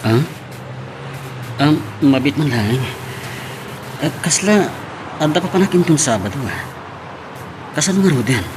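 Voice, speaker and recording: A man's voice: a little high, big pitch swings, unhurried, pauses often, background noise. A man playing a mild, evasive young boyfriend in an Ilocano radio drama, speaking hesitantly with a Filipino accent.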